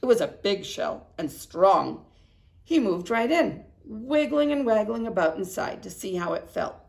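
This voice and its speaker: A woman preschool teacher reading a storybook aloud in an expressive way, with little explanatory asides to young children. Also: mid-range voice, unhurried, gravelly.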